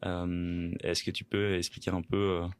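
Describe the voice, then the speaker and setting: A man's voice: deep voice, flat delivery, measured pace, clear, resonant tone. A man hosting a podcast, hesitant, with many fillers and friendly interjections. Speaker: podcast host. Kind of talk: casual interview chat.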